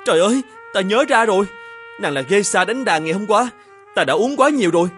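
Casting: evasive, courteous artist husband for animated folktale, fairly high male voice, hesitant delivery. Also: a little fast, soft background music. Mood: flustered. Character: married painter, earnest, evasive adult Japanese man.

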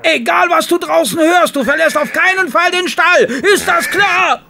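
An actor playing a stern, resolute man in charge of a stable, gravelly and commanding, in a German radio play. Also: very high-pitched, moderate pace, pushed and bright, exaggerated voice. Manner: commanding, urgent. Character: stern, resolute male horse trainer in charge. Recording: clearly noisy background.

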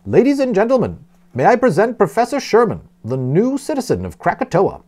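Storybook gentleman host, male reader acting, formal and proud, pitched up, declamatory. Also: huge pitch swings, a little fast, exaggerated voice. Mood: grand announcing. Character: gracious gentleman islander proudly explaining and announcing, hospitable. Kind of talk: storybook character voice in a read-aloud.

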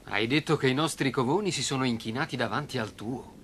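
A man voicing a cold, jealous, scheming brother in an animated film dub. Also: medium pitch, a little fast, soft background music, close to an everyday voice. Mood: accusing.

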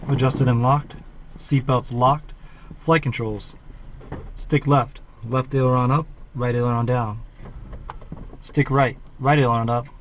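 A man's voice: mid-range voice, fairly even intonation, unhurried, long pauses, slight background noise. A flight instructor in his forties, calling out a preflight checklist in a methodical, clipped way from a small plane cockpit on a headset.

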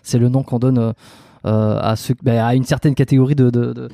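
A man hosts a relaxed interview chat, full of fillers and restarts. His voice is mellow and dark, close on a boom mic in a dry home room. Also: a little fast, gravelly, no room sound. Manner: hesitant, many fillers and restarts. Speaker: podcast host, osteopath. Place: home room with lamp, video call. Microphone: studio mic on boom arm, close.

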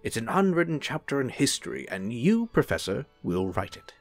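A man playing a suave, serene angel in a radio-style drama, reassuring and gently evasive. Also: mid-range voice, very animated, normal speed, faint music behind. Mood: serene, teasing. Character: suave, serene male angel posing as an assistant. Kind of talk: film-adaptation audio drama acting.

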